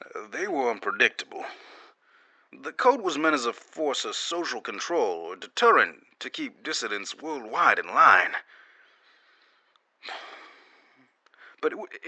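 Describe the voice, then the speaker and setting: A man's voice: medium pitch, pushed and bright, dry recording, full character voice. A man playing a guarded, defensive corporate security officer who gives secret testimony in an audio drama, explaining hesitantly with pointed emphasis. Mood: grim, emphatic.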